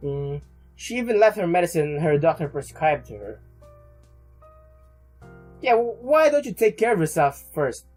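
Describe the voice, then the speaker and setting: A woman's voice: on the low side, sing-song, moderate pace, pauses often, darkish tone, soft background music, poor audio. A woman hosting a let's play, reading game text aloud and interjecting casually, often repeating words as she goes.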